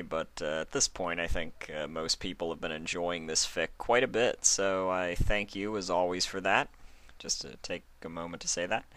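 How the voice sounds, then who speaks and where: A man's voice: low-pitched, a bit bright, clean tone. A man hosting and narrating a fan fiction reading, moving between casual talk and storybook narration. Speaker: fan fiction reader, channel host. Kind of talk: casual spoken introduction, then storybook narration.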